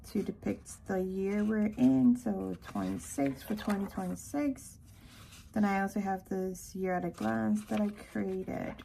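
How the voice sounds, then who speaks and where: A woman from Ghana giving a casual walkthrough narration in an unhurried way, with hesitant pauses. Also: medium pitch, gravelly, mellow, slight background noise. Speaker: planner vlogger.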